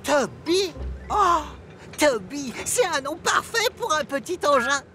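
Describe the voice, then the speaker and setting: A woman voicing an eager young male diesel railcar in a French cartoon dub, bubbly and excited, calling out loud greetings. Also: high-pitched, slow pace, slight background noise, heavily acted.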